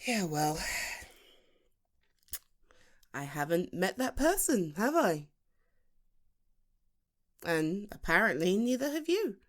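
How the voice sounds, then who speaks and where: A woman acting as a single British woman in her late thirties, chatting to her best friend in an intimate ASMR roleplay. She takes her time, halting and starting again, with a lively, expressive melody. Her tone is teasing and self-deprecating, at a medium pitch. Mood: wistful, light. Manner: halting, chatty, with sighs and chuckles.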